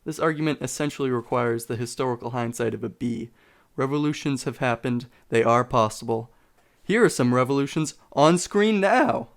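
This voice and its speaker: A man's voice: medium pitch, normal speed, vocal fry. A man delivering scripted video essay commentary in an informal, sarcastic way.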